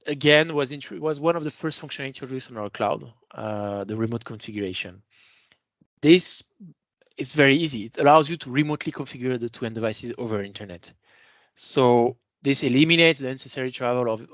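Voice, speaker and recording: A man with a mid-pitched voice presents a product webinar in an informal, explanatory way. He leans on key words as he makes his sales points. The sound is dry, with very little room in it. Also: fairly bright tone, no echo.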